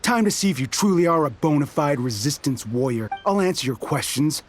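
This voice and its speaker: A man's voice: a little high, expressive, brisk, soft background music. A man voicing a proud, distrustful, hot-headed resistance soldier in an anime game, with an emphatic manner. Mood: challenging. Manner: boastful, challenging, emphatic.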